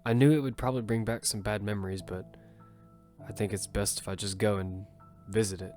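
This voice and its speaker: A man's voice: lowish voice, steady tone, fairly quick, darkish tone, close to an everyday voice. A man playing a battle-hardened survivor who narrates in grim first person and leads his team. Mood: steady. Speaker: narrator and lead character. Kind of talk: audio fiction narration. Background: music.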